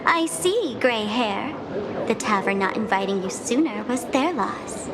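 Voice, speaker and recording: A woman's voice: on the high side, animated, measured pace, background noise. A woman voicing a cheerful, mischievous young tavern host in a video game, bubbly, showy and teasing. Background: game music.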